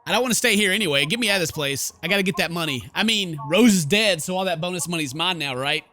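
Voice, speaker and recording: A man with a fairly high, very bright voice hosts a horror game playthrough. He narrates the on-screen story dramatically and breaks into exclamatory commentary of his own. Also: animated, quick pace, a little hiss or hum. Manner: theatrical, exclamatory when reacting. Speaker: gaming video host. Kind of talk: horror game let's play, reading the story aloud with reactions.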